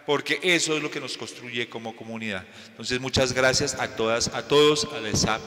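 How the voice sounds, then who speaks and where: A man's voice: mid-range voice, pushed and bright. A man, moderating an event and closing it with an effusive, formal round of thanks. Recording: reverberant lecture hall.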